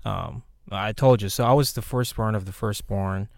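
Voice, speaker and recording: A man with a Korean accent, a native Korean speaker, co-hosting a podcast and telling his story in a halting, soft-spoken way with many pauses and fillers. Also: fairly low pitch, gravelly, no echo, studio quality. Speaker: podcast co-host. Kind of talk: casual personal storytelling.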